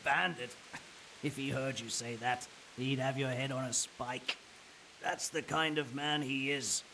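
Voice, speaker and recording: An actor playing a resigned, cynical captured mercenary in a video game drama, wry and matter-of-fact, with a gravelly voice. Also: male voice, mid-range voice, steady tone, measured pace, bright, forceful tone, slight background noise, low-quality recording. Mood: cynical, frank.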